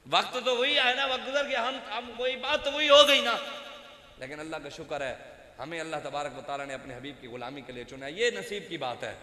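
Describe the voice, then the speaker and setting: A man preaching a fiery sermon in Punjabi-accented Urdu, swinging between loud outbursts and low, quiet lines. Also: expressive, a little fast. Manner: impassioned, rising to loud outbursts then dropping low. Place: outdoor religious gathering with loudspeakers. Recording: echoey hall with long reverb.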